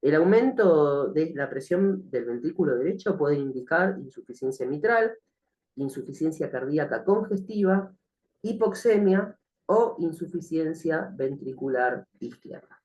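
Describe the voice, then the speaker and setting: A man teaching a recorded nursing class, slightly hoarse from the flu, speaking hesitantly with many fillers and frequent check-ins with the listener. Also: a little high, lively intonation, a little slow, a little mellow, low-quality recording. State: has a cough from the flu. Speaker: nursing instructor. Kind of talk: recorded online lecture.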